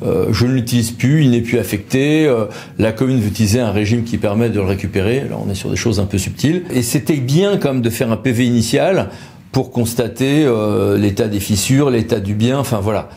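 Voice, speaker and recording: A man's voice: mid-range voice, fairly quick, vocal fry, warm tone. A man explains legal matters to camera in a conversational, loosely improvised way. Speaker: lawyer presenting an explainer video.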